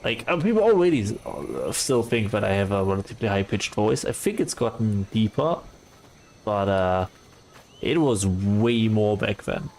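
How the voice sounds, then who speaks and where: A man streaming live and chatting casually with his viewers, soft and calm, often pausing on fillers. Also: on the low side, creaky, slight background noise, poor audio. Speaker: livestreamer.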